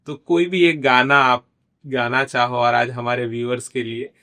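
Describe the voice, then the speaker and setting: A man's voice: medium pitch, flat delivery, normal speed, bright, forceful tone. Polite man hosting a podcast. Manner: polite, encouraging, mixes in English phrases. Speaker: podcast host. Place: podcast studio.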